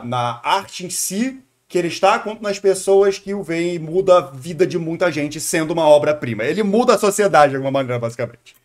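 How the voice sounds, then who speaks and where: A young Brazilian man streaming casual live commentary as he reacts to a video, playful and digressive, often repeating words. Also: sits high. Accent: Brazilian Portuguese.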